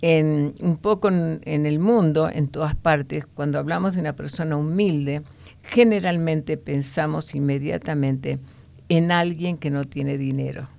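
Low, gravelly woman teaching the Bible. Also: a little mellow. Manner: explanatory, often ending points with a questioning "no?"; unhurried, with frequent hesitation fillers.

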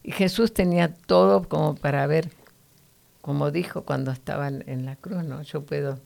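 Low, gravelly-voiced woman teaching the Bible. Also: moderate pace, a little mellow. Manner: earnest, teacherly, frequent "¿no?" tags.

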